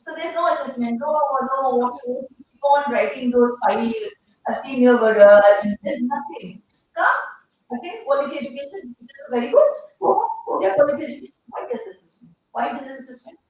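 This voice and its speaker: Hoarse middle-aged Indian woman, lively talk that quizzes the room, pitched a bit high, heard from afar in an echoey hall. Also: a little fast, slight background noise. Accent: Indian English. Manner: animated, questioning the audience. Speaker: speaker addressing teachers. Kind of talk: interactive conference talk. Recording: echoey hall from far off, dull.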